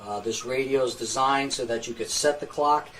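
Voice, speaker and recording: A man with a medium-pitched voice walks through a hands-on repair demonstration. He speaks in a plain, methodical way, unscripted, like a technician teaching. There is noticeable noise behind his voice. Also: normal speed, a bit bright. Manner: matter-of-fact, methodical step-by-step explaining. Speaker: radio repair technician presenting a tutorial.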